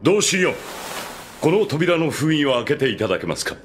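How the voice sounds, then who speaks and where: An actor playing a calm, manipulative master swordsman in an anime audio drama, speaking in a measured, persuasive way. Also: fairly high pitch, slight background noise. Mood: formal, composed. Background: music.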